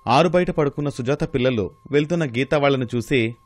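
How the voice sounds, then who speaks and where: A man's voice: mid-range voice, brisk. A man reading scripted narration for a moral story.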